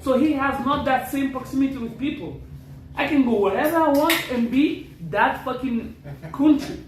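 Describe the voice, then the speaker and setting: A man whose first language is Riffian Berber, a guest in a casual chat, talking boastfully in halting English and swearing often. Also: extremely high pitch. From Morocco. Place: indoors in a house. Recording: echoey room, mic far off, faint noise.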